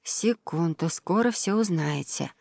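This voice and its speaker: A woman voicing a playful, thoughtful, gentle mother in a children's storybook. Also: fairly low pitch, animated, normal speed, no echo, studio quality. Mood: musing. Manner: musing, playful. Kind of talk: children's audio storybook character voice.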